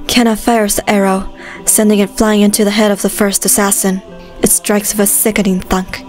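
A woman's voice: mid-range voice, flat delivery, moderate pace, a little mellow, faint music behind. A woman reading scripted story narration as a narrator.

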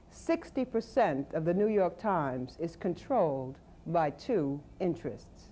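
Female narrator, rather low and very mellow. Scripted, measured, matter-of-fact read. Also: a little hiss or hum.

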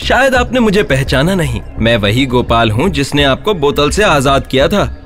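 Guy doing clever brave village lad in a cartoon, polite, animated tone, music behind. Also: medium pitch, normal speed. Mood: sly, polite. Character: clever, fearless, polite young village man. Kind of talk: animated Hindi horror story acting.

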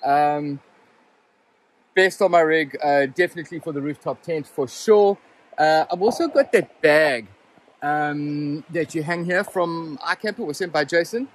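A chatty man in his forties talks to a phone held at arm's length, his voice pitched a little higher than most men's. He rambles along in a loose, easygoing review, full of ums and self-corrections. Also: a little slow, a little hiss or hum, rough recording. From Southern Africa. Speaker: vlogger reviewing his rooftop tent. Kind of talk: casual hands-on gear review. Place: inside a rooftop tent, then outdoors beside a vehicle in the bush. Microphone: handheld phone camera at arm's length.

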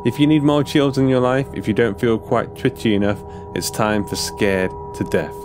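A man's voice: medium pitch, fairly even intonation, moderate pace, background noise. A man announcing for a horror podcast, reading a scripted sponsor message and episode introduction.

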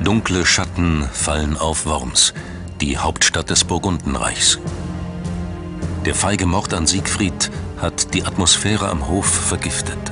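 Male narrator, very low voice, measured and solemn scripted documentary read over prominent music. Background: background music.

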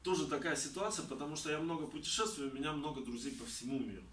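A man in his thirties from Kazakhstan, talking about travel and answering questions in an animated, gesturing way in a small indoor room. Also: a little high, quick pace. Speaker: traveler giving a talk. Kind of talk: conversational talk answering audience questions. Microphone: far camera. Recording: roomy, distant, faint background noise.

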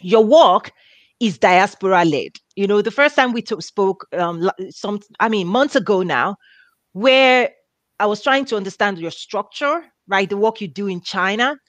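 A woman in her thirties hosting a video call interview, speaking in an animated, enthusiastic way. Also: mid-range voice, normal speed, no room sound. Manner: animated, enthusiastic, gestures while talking. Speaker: host. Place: indoors, home office. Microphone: headset.

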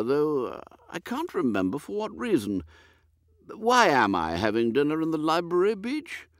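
A man's voice: a little high, no room sound, clean studio sound, pitched above the performer's own voice, exaggerated voice. A man voicing a vague, bumbling elderly British earl who hesitates and repeats himself, in an audiobook. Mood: befuddled. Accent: British English.

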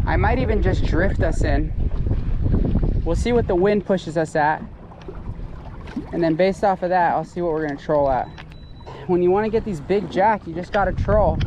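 A young American man chatting casually to viewers as a fishing vlogger, speaking informally on an action camera out on an open boat. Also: high voice. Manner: informal, addresses viewers as buddies. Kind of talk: casual vlog chat while fishing. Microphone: action camera worn on the body. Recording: windy, noisy outdoor action camera. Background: wind, water and boat noise.

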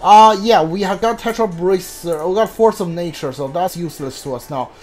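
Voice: medium pitch, darkish tone, soft background music. A video game player giving casual, hesitant commentary on a playthrough, muttering and thinking aloud. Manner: hesitant, halting, muttering to self.

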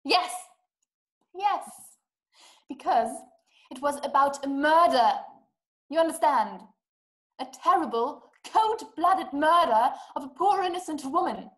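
A woman's voice: sits high, animated, takes it slowly, long pauses, a bit bright, exaggerated voice. A young woman playing a distraught, imperious invalid wife in a play, speaking with dramatic, emphatic, formal diction. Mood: urgent pleading. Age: young adult. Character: distraught, imperious, self-pitying invalid wife, desperate and impatient. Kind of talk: theatrical stage-play acting over video call. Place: small dim room at home. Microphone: webcam video call.

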